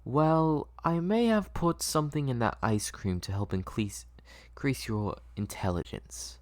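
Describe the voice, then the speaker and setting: A man playing a manipulative mad doctor with a coaxing, drawn-out, falsely soothing manner in an audio story. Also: medium pitch, voice pushed up, close to an everyday voice.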